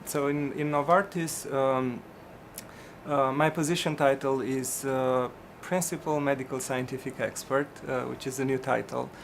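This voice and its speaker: A middle-aged physician from Romania, answering interview questions in a hesitant way, with many ums and restarts. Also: male voice, medium pitch, flat delivery, a little slow, vocal fry, a little hiss or hum.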